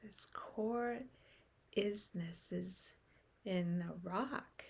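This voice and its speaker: A woman leading a guided meditation in a gentle, soothing manner, with phrases that often soften into breath. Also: medium pitch, drawn-out words, creaky, slightly rough.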